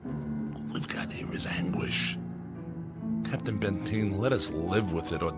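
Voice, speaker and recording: A low-voiced, bitter young rebel. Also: male voice, unhurried, over loud music. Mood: bitter, defiant. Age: young adult. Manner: impassioned, declamatory. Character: bitter, defiant young man in desperate space colony. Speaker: actor. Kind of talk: dramatic radio acting.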